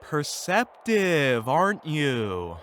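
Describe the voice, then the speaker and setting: A man playing a hapless exiled wizard in an audio drama, halting, flustered and defensive. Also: a little high, sing-song, fairly bright tone, a little hiss or hum, full character voice. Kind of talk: audio roleplay drama acting.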